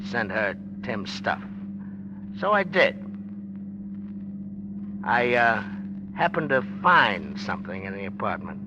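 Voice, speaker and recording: A man's voice: fairly low pitch, big pitch swings, slow, pauses often. A man playing a cocky, needling, tough-talking newspaperman in a 1940s radio drama, slangy and smug. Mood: insinuating. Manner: slangy, smug, hard-boiled. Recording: dull noisy old radio recording.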